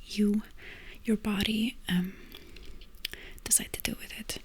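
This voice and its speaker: Slow, soft-whispering woman healer. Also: mid-range voice, flat delivery, slightly rough. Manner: soft unvoiced whisper, loose and chatty with many fillers. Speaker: energy healer and ASMR creator. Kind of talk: whispered ASMR guided session.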